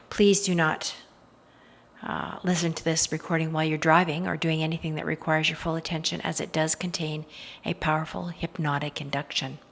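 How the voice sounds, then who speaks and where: A woman leading a guided meditation in a soothing, hypnotic style, with soft trailing phrase endings. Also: sits low.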